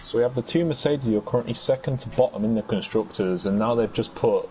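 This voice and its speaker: A man co-commentating a sim racing qualifying session, calling positions in a measured way and joking along with his partner. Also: mid-range voice, dark tone. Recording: dull lo-fi stream audio with faint hiss.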